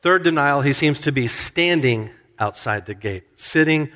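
Preacher with a long hall echo. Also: male voice, lively intonation, a little slow, a bit bright. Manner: expository preaching, acts out quoted lines with rising pitch. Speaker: pastor. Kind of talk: sermon. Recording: hall reverb.

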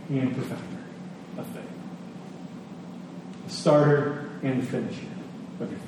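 Echoey male preacher, earnest and emphatic. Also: mid-range voice. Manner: earnest, emphatic, dramatic pauses and repetition. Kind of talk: expository sermon with scripture reading. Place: large reverberant indoor hall. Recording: distant, echoey large hall, faint noise.

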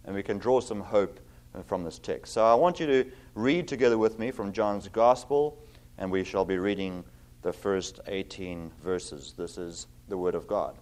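A middle-aged man from South Africa with a South African accent, preaching a sermon as a visiting pastor, earnest with many fillers, reading scripture slowly and with emphasis. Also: sits low, darkish tone.

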